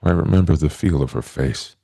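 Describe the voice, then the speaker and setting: A man playing a naive, gentle newborn man in a tabletop roleplay, speaking in simple, earnest, halting phrases. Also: very deep voice, creaky, no echo, clean studio sound, full character voice. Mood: puzzled, earnest. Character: naive newborn man, gentle, childlike, literal-minded and puzzled.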